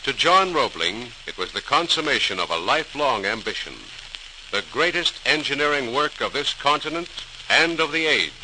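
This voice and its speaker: A man narrating a scripted 1940s radio program in a solemn, formal, dramatic manner. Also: medium pitch. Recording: noisy, crackly 1940s radio transcription.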